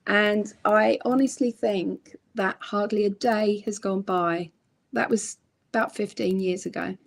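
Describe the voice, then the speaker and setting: A middle-aged woman with a croaky voice from a cold, telling her story slowly as a guest on a video call. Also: mid-range voice, steady tone. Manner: slow, reflective storytelling with many ums. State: hoarse from a cold. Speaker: guest crochet designer and author. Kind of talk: casual chat on a livestream.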